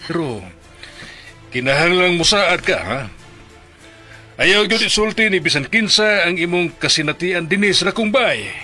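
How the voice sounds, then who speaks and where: A nasty radio drama landowner villain, taunting, voice swinging up and down, sharp, music behind. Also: male voice, high voice, expressive, exaggerated voice. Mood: gloating menace. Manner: taunting, drawn-out threats. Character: menacing, lecherous landowner threatening his victim.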